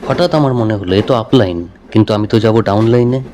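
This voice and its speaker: A man's voice: on the low side, brisk, dark tone, slight background noise. A man giving a scripted first-person narration of a horror story. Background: music.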